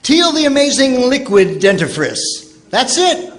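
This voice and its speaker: A man's voice: extremely high pitch, very animated, unhurried, fairly bright tone. A man announcing and narrating in scripted old-time radio style. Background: music.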